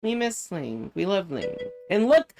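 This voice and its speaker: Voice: medium pitch, sing-song, a little slow, creaky, darkish tone. A streamer chatting casually during a game stream, playful and teasing, with faint music behind. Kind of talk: casual gaming stream chat.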